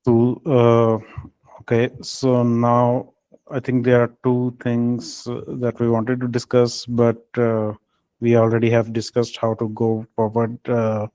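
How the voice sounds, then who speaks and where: A man leading a technical team call, speaking in a halting, hesitant way with filled pauses. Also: mid-range voice, monotone, mellow, smooth, no echo. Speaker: meeting lead.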